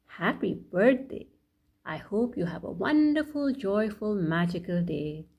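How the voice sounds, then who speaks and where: A woman reading a bedtime story aloud for children as a storyteller, addressing them directly in a deliberate way. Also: medium pitch, animated, a little mellow. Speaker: children's storyteller and channel host.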